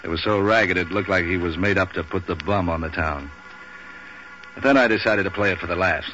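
An actor playing a street-wise, cynical hard-boiled hero with deadpan wisecracks in 1940s radio noir. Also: male voice, low-pitched, fairly quick, close to an everyday voice. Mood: cynical, brooding. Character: Pat Novak, street-wise cynical male hard-boiled boat renter. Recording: muffled, hissy old radio recording.

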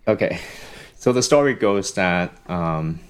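A young man from Hong Kong, a psychology professor, speaking as a podcast guest and telling a long story in a relaxed way with many "um"s and "you know"s. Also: low voice, a little slow, smooth. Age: young adult. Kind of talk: casual podcast conversation.

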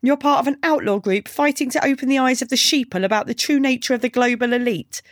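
A woman voicing a brash, sarcastic young punk woman in audiobook dialogue, deadpan and taunting. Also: sits high, fast talker, no room sound, clean studio sound, voice pushed up, lightly acted. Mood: calm, mocking.

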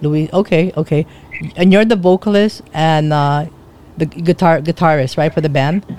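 A man hosting a radio interview show, asking questions in a casual, halting way and often repeating himself. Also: a little high, steady tone, a little mellow.

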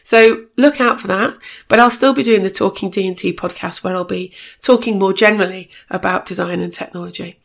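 Woman, podcast host, gravelly croaky voice, chatty and informative. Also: mid-range voice, fairly quick. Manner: chatty, informative, self-correcting. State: a bit croaky voice. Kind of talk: solo podcast update.

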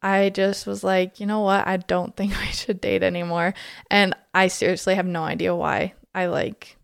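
A woman's voice: medium pitch, flat delivery, moderate pace, creaky, smooth, dry recording, studio quality. A woman in her twenties telling her life story as a podcast guest, in a chatty way full of "like" fillers. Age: 20s. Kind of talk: casual storytelling.

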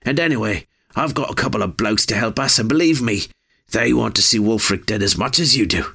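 A male narrator voicing a rough-spoken, terse man with dry, folksy speech. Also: lowish voice, brisk, sharp tone, heavily acted. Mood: reassuring. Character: gruff rough-spoken man, laconic scout.

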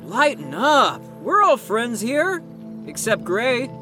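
Cocky young male troublemaker played by a woman, audio drama, animated pitch, slow drawling delivery, music behind. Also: exaggerated voice. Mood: teasing. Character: brash, teasing male teenage-like patient, cocky troublemaker.

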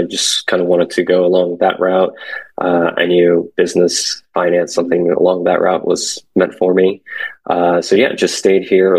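Podcast guest: young man, very low husky voice, relaxed and halting, lots of ums. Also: rough recording. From born in Korea, raised in San Francisco Bay Area. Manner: hesitant, many fillers, relaxed. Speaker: guest, commercial real estate associate. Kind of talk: casual podcast conversation.

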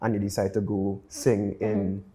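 A man's voice: lowish voice, level tone, normal speed, mellow. A man with a Trinidadian accent, talking casually and conversationally as a guest music producer in an interview.

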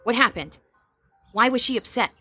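A woman's voice: on the high side, lively intonation, normal speed, sharp tone, breathy edge, dry recording, soft background music, exaggerated voice. A woman playing a worried, determined young roommate in an audio drama, direct and insistent. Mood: confrontational. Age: young adult.

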